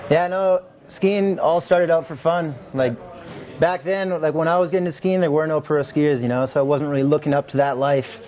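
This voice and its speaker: Laid-back young Canadian man, mellow higher voice. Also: moderate pace. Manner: easygoing, laid-back, laughing often. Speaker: pro skier. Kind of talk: casual chat. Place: lodge room with trophies, indoors.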